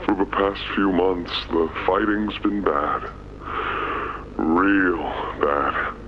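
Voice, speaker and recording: An actor playing a weary, scared but gentle monster leader in a video game, confiding haltingly into a recorder. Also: male voice, sits low, steady tone. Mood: hesitant, troubled. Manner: halting, hesitant, simple-worded confiding. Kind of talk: video game audio log monologue. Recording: dull, noisy lo-fi tape recording.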